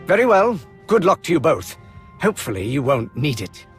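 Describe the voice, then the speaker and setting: A stern Imperial colonel from a sci-fi game, with a high voice that moves in wide, lively swings. He speaks formally and in clipped military phrases, over background music. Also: normal speed. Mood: businesslike. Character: brisk, officious male Imperial colonel, dutiful and stern. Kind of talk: video game cutscene acting. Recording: music behind the voice.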